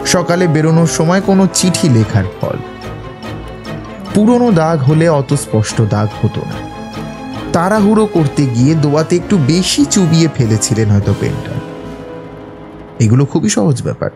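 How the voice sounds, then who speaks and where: A brisk, analytical detective with a slightly high voice, set over prominent music. He sounds sure of himself and lays out his reasoning like a teacher in an audio drama. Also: big pitch swings. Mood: confident, explanatory. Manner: assured, didactic, explaining deductions step by step. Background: prominent background music.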